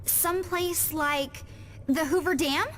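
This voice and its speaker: A woman's voice: sits high, fairly even intonation, takes it slowly, fairly bright tone, a little hiss or hum. A woman voicing a clever, earnest young girl sidekick in a cartoon video game, sensible and insistent. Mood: thoughtful.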